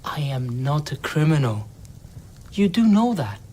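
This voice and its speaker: A man's voice: fairly high pitch, sing-song, measured pace, a little mellow. An actor playing an enslaved young foreign man in an audio drama, defiant and questioning. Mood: challenging. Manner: challenging, pointed. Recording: clear background noise.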